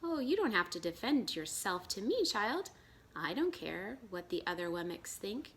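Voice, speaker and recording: A woman voicing a big, kindly, fatherly woodcarver in a children's storybook, speaking deliberately and reassuringly. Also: medium pitch, sing-song. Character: large bearded old woodcarver, kindly fatherly maker. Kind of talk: children's storybook read-aloud.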